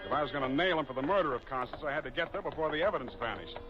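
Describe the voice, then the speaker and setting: A man's voice: fairly high pitch, expressive, rapid-fire, close to an everyday voice. An actor playing a terse male private eye who narrates, in 1940s radio drama style, on a dull old recording with music.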